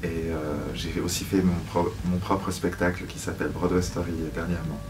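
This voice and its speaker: A man's voice: low voice, little pitch movement, moderate pace, recorded from a distance, noisy background. A man giving reflective interview answers as a guest, hesitant and searching for words. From Switzerland (Lausanne), born in Paris. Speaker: musical theatre actor, interview guest.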